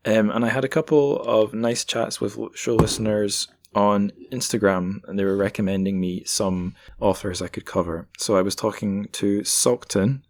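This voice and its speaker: A man's voice: lowish voice, steady tone, moderate pace, few pauses. A man hosting a podcast, chatting through announcements in an informal, conversational way. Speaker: podcast host. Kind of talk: casual podcast announcements and chat.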